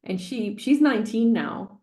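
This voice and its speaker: A woman's voice: on the high side, unhurried, a little mellow, reverberant. A woman in her forties telling her personal story as a podcast guest, earnest and repeating key phrases for emphasis. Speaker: guest, sales coach.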